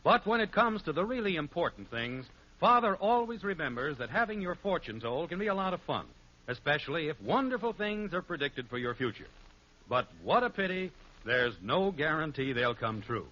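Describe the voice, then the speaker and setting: Bright, animated vintage male radio announcer. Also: a little high, moderate pace. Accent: American. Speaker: announcer and narrator. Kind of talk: 1950s radio scripted announcing. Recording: dull old radio recording.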